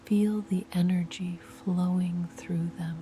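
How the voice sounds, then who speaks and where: A woman whispering soft, soothing guided meditation instructions. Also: lowish voice, steady tone, slow pace. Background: music.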